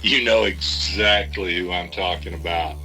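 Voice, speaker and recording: A man's voice: low-pitched, fairly even intonation, unhurried, sharp tone, faint music behind, rough recording. An actor playing a cagey, folksy man who deals in secrets, with a knowing, evasive manner. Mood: cryptic. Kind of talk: audio drama acting.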